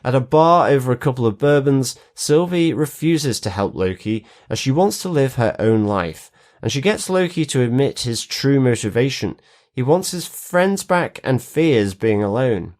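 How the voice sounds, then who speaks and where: A man's voice: mid-range voice, a little mellow. A man hosting a podcast, giving recaps and opinions in a review show. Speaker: podcast host. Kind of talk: scripted recap, then casual review chat.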